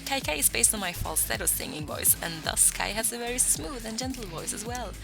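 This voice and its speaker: Young woman with a vocal fry. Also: on the high side, lively intonation, a little fast, music in the background. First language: Swedish. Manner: chatty and self-deprecating, with filler "like" and asides. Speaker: voicebank creator answering fan questions. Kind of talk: casual Q&A vlog talk.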